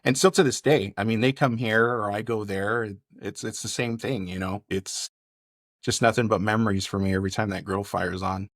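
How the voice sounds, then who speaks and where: A man from California speaks as a guest chef on a podcast. His voice sits fairly low and is recorded close and dry. He tells his stories in a casual, conversational way, filling them out with detail. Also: steady tone, fairly quick, no room sound. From Bakersfield, California. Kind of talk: casual interview storytelling.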